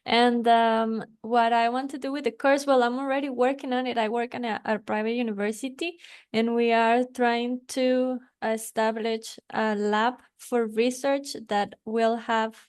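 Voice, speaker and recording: A woman talks casually in an online class, pausing now and then to find the right word. Her voice sits fairly high. It sounds smooth and clear. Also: little pitch movement, darkish tone. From Costa Rica. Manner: searching for words, casual. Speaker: course participant.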